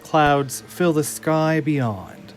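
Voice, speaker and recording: A man's voice: a little high, drawn-out words, faint music behind. A man reading scripted narration as the narrator of an audio drama.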